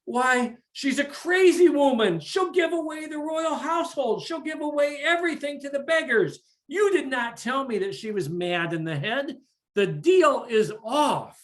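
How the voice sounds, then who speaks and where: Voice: sits high, slow, gravelly, fairly bright tone, voice pushed up, exaggerated voice. A storyteller putting on a blustering haughty king. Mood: indignant. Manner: blustering, dismissive. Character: scornful haughty male king, bargaining.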